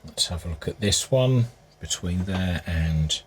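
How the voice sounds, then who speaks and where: A man with a husky voice giving casual, unscripted hands-on repair commentary, musing aloud as he works. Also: low-pitched, expressive, a little slow, slight background noise. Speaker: hobbyist restorer presenting his repair.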